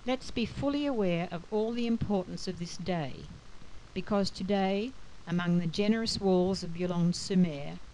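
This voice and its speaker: A woman giving a scripted educational talk in a measured, explanatory manner. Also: lowish voice, dark tone, a little hiss or hum, low-quality recording. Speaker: lecturer.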